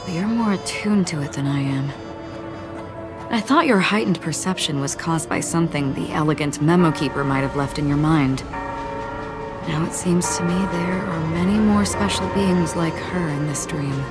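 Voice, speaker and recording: A woman's voice: low voice, moderate pace, over loud music, natural voice. A woman playing a courteous, composed and mysterious lady with formal phrasing in a video game. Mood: musing. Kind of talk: video game dialogue acting.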